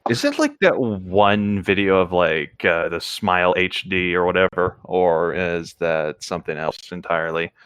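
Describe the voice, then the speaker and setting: A man co-hosting a story reading show in a casual, laid-back and somewhat halting way, reading aloud and chatting. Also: deep voice, expressive, fairly bright tone, clear, resonant tone, no echo.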